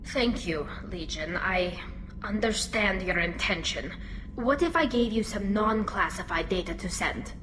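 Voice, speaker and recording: A woman playing an earnest, anxious young alien engineer in a video game, expressive and nervous in delivery. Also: medium pitch, a little slow, a little hiss or hum, rough recording. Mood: subdued, conciliatory.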